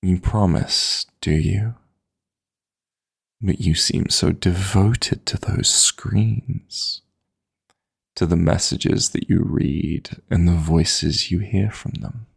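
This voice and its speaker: A man playing a possessive, teasing dream demon lover in intimate sleep-aid roleplay audio, coaxing softly and deliberately in a gravelly, breathy voice. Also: low-pitched, heavily acted. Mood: seductive, coaxing.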